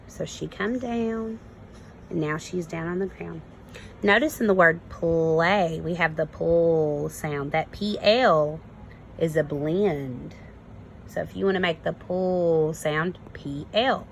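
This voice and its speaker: Woman, kindergarten teacher, storybook read-aloud with simple asides, gravelly fry, slow delivery. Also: deep voice, slight background noise, poor audio. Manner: simple explanations addressed to young children.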